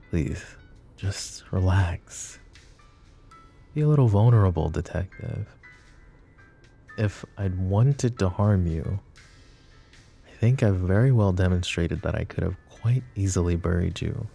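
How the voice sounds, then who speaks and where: A man's voice: low voice, dark tone, full character voice. A man voicing a suave, possessive mafia boss who flirts with the listener in a polished, courteous way that carries an undertone of menace. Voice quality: smooth, courteous, teasing menace. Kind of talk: roleplay audio drama, addressing the listener.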